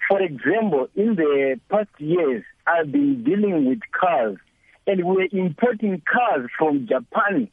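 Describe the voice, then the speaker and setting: A man phones in to a radio show from a moving car, earnest and halting. His voice is gravelly, pitched fairly high, and squeezed through a tinny phone line. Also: big pitch swings, no echo. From Zimbabwe. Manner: earnest, halting, repeats words. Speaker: caller.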